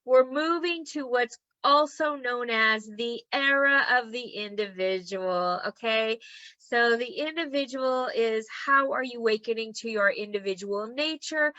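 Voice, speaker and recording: A woman leads an online class in a chatty, encouraging way and keeps checking in with her listeners. Her voice is bright and a little high, though strained from losing it. The recording is dry and slightly muffled. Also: a little slow, no room sound. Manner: chatty and encouraging, frequent "okay?" check-ins. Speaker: human design teacher. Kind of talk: casual teaching talk to an online group.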